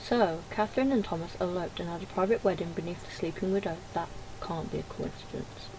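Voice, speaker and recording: A woman's voice: lowish voice, a little fast, a little mellow. A woman reading game dialogue aloud with casual commentary, in a noisy home recording. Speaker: gaming video creator.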